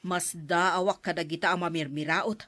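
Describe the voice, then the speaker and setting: A woman's voice: fairly low pitch, fairly even intonation, moderate pace, fairly bright tone, dry recording, clean studio sound, close to an everyday voice. An actress playing a heartless rich woman, disdainful and indignant, in a radio drama.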